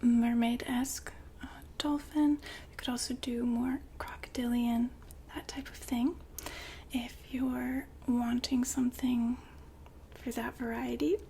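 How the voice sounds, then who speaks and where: A woman whispering softly and politely in an ASMR customer-service roleplay, breathy and gently hesitant. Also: on the high side, little pitch movement, slight background noise. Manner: soft-spoken, polite, unhurried, gently hesitant. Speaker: ASMR roleplay performer as a shop consultant.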